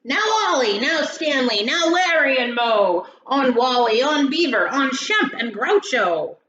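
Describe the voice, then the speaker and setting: A woman's voice: high-pitched, animated, measured pace, fairly bright tone. Woman playing a dramatic little old farmer, echoey room. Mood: rousing, shouting. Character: lively little old male farmer, dramatic storybook character. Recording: roomy echo, dull sound, faint noise.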